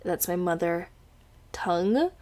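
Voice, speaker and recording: Woman in her twenties, Indonesian accent, English as a second language, rambling and giggly podcast chat, teary after crying, voice a little low. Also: animated, slow pace, warm tone. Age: 20s. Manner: rambling and giggly, many restarts and asides. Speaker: podcast host. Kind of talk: casual chat.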